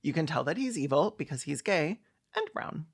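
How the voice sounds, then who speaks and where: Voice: mid-range voice, big pitch swings, normal speed, a little hiss or hum. A man giving sarcastic, mocking commentary as the host of a film review, with casual swearing.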